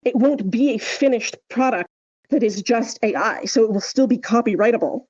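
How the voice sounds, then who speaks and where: Higher-voiced woman, mellow, explaining emphatically. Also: moderate pace, husky, lo-fi sound. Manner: emphatic, explanatory, often checks with "right?". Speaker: podcast co-host. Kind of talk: casual podcast discussion.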